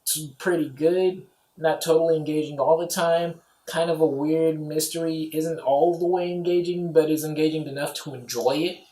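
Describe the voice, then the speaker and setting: A man's voice: fairly high pitch, steady tone, normal speed, dark tone. A man giving a casual, offhand movie review, rambling along with lots of filler phrases. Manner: rambling, offhand, peppered with "you know" and "like". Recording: roomy, echoey home recording.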